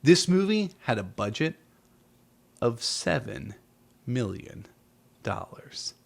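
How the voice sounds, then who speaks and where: A man's voice: mid-range voice, sing-song, slow pace, vocal fry. A man in his forties co-hosting a podcast, talking casually about film and explaining things encouragingly. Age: 40s. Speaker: podcast co-host. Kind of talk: casual film chat with some read facts.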